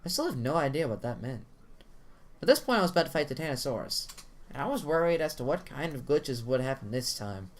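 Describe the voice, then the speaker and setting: A man's voice: mid-range voice, big pitch swings, fairly quick. A man narrating a first-person horror story as a dramatic reading, theatrical in delivery with the odd stumble. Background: music under the voice.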